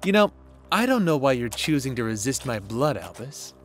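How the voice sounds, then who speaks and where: A man's voice: mid-range voice, big pitch swings, normal speed, soft background music. Sly, mocking demon, acted by a man. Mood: sly, teasing. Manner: mocking, teasing, casual. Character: bored, taunting male demon, sly and foul-mouthed. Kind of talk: fantasy audio roleplay acting.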